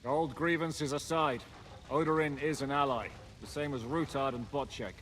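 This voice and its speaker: A sober, level-headed medieval soldier voiced by a male actor for game dialogue. He speaks in a slightly high male voice with a measured, matter-of-fact manner, a little noise behind him. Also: steady tone, a bit bright, lightly acted. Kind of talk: dramatic video game dialogue.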